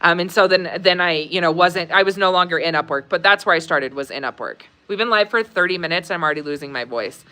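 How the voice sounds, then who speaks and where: A woman's voice: fairly low pitch, quick pace, hardly any pauses. A woman hosting a live Q&A stream, chatting casually with many fillers, laughing often and reading viewer comments aloud. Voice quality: warm, conversational, many fillers.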